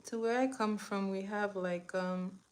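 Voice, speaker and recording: A woman's voice: medium pitch, fairly even intonation, measured pace. Fields: Sex: woman. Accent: Nigerian. Role: chatty makeup vlogger. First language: Igbo. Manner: chatty, drops to a whisper while applying. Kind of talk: casual makeup tutorial chat.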